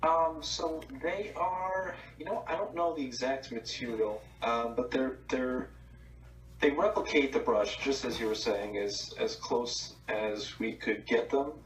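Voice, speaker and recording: A man from New Jersey, a company co-founder, explaining a product hesitantly with many pauses. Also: medium pitch, steady tone, soft background music. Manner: hesitant, many ums and uhs. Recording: echoey, distant from the mic.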